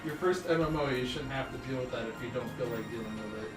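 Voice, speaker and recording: A man's voice: medium pitch, quick pace. A man co-commentating on a game stream in casual chat, opinionated and explanatory, swearing freely. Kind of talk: casual chat about games. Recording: roomy, distant mic with music behind. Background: music.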